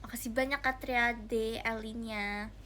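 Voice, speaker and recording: A woman's voice: fairly high pitch, fairly even intonation, a little slow, pushed and bright, a little hiss or hum. A young woman, chatting casually and giggly with viewers on a livestream, addressing them directly. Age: young adult. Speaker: idol livestreamer.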